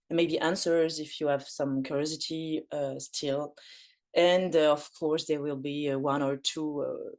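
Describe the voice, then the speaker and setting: A woman leads an online forum over a video call. Her voice sits on the lower side, and she talks haltingly, full of ums and restarts. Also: darkish tone. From Belgium. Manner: hesitant, many fillers and restarts. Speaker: facilitator.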